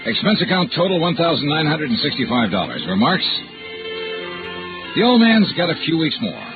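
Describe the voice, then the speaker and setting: An actor playing a tough but soft-hearted insurance investigator, clipped and wry, in a 1950s radio detective show. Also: male voice, a little high, big pitch swings, moderate pace. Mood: brisk, wry. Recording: tinny old radio, music behind.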